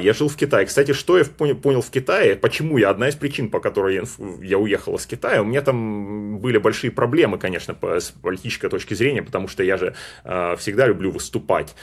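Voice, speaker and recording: A man's voice: on the low side, lively intonation, a little fast, husky. A man from Russia talking in a casual, reflective monologue, hesitant and full of fillers and restarts. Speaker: speaker on personal identity.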